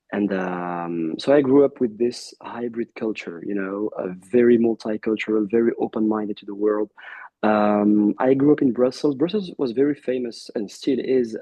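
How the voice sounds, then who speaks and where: A man from Belgium who first spoke Arabic, a guest in a casual interview, talking in a warm, reflective way with many restarts and fillers. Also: lowish voice, no room sound, muffled recording.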